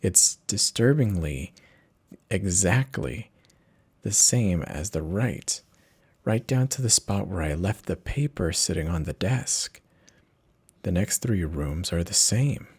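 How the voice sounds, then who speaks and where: A man's voice: sits low, lively intonation, darkish tone, breathy edge. A man giving a theatrical, intense first-person dramatic reading as a horror story narrator. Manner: theatrical, measured, intense delivery.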